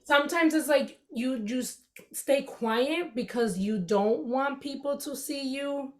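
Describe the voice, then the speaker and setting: A woman's voice: sits high, slow pace. A woman from the Dominican Republic with a Dominican Spanish accent, speaking candidly and earnestly as a podcast guest, with frequent "you know"s. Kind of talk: casual interview chat.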